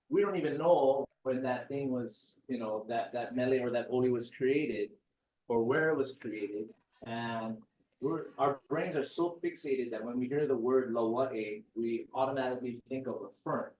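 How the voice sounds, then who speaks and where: A man gives a relaxed, chatty talk full of jokes and asides. His voice sits at an ordinary middle pitch. The recording is echoey, with the speaker standing some distance from the mic. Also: measured pace, lo-fi sound. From Hawaii. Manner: chatty, joking, digressive. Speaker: guest speaker. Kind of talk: casual lecture talk.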